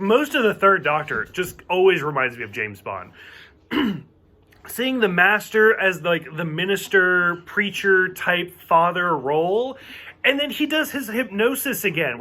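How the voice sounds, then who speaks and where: A man's voice: high-pitched, sharp tone. A man from the southern United States with a mostly hidden Southern accent, chatting casually through a TV review and rambling with lots of fillers. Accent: light Southern US, mostly covered.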